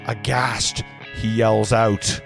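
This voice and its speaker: A man's voice: lowish voice, flat delivery, drawn-out words, vocal fry. A man acting as narrator at a table read, reading screenplay stage directions aloud earnestly, with the odd stumble. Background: music.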